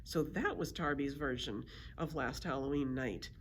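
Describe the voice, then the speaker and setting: A woman reading a children's storybook aloud as a librarian, in an expressive storytelling manner. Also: very deep voice, moderate pace, slight background noise.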